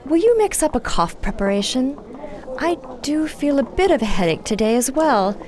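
A woman's voice: sits high, very animated, normal speed, a crowd in the background. A woman playing a sick, anxious mother in a period radio drama, weary and making light of her illness. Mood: weary, reassuring. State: sick, coughing.

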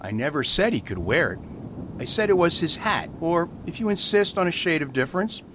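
A man playing a gentle, shrewd priest detective in a radio mystery, patiently explaining with amusement. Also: very animated, fairly quick. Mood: playful explaining. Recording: faint rumbling background noise.

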